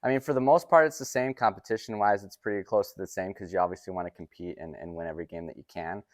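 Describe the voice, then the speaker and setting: A man's voice: sits low, fast, dry recording, studio quality. A man in his twenties from Utah, a baseball coach answering interview questions casually. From Utah, United States.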